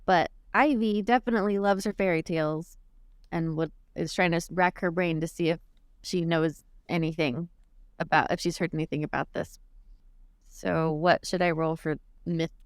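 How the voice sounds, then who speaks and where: Clear, low-voiced woman gamer. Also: fairly even intonation, moderate pace, dry recording, clean studio sound. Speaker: tabletop game player. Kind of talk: casual table talk.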